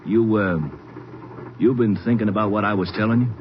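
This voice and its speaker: Noisy old radio, actor as griping tough army private. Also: male voice, steady tone, a little fast, lightly acted. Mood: defiant, scheming. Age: 30s. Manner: slangy, griping tough-guy talk. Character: burly cynical army private of thirty, sour and scheming. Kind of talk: 1950s radio drama acting. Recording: noisy dull 1950s radio recording, vehicle rumble.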